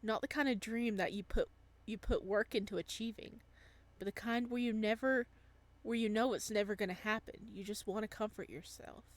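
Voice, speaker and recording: Woman reading as a soft, wistful man in love. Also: mid-range voice, fairly even intonation, normal speed, natural voice. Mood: wistful, reflective. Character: wistful adult man reunited with lost boyfriend, gentle narrator. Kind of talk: visual novel story reading.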